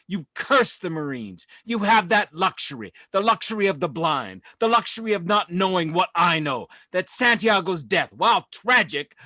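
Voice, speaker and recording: A man plays a commanding Marine colonel on the witness stand in a stage drama. His voice is high for a man and forceful, swinging widely in pitch. He sounds haughty and full of contempt, an orator sure of his own rank. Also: no room sound, exaggerated voice. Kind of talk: dramatic script reading.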